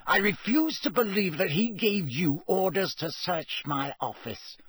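Cold, bitter storybook schoolmaster played by a man: dangerous, clenched and hissing, higher-pitched, cleanly recorded. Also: animated, measured pace, breathy edge, no room sound, full character voice. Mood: clenched anger. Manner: hissing, soft, clenched, disdainful. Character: cold, bitter, dangerous male potions master.

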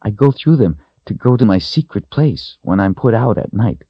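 An earnest, timid little servant girl from a storybook, acted out by a man, pitched up from his deep natural voice. The phrasing is simple and childlike, with a husky edge and a dry sound. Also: expressive, moderate pace, no echo, muffled recording. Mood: earnest, confiding.

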